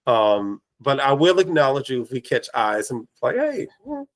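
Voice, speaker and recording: A man hosting a casual podcast chat, his voice raspy from allergies, telling lively stories and acting out quoted remarks. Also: mid-range voice, moderate pace. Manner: animated storyteller, acts out quoted exchanges. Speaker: podcast host. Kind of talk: casual conversation.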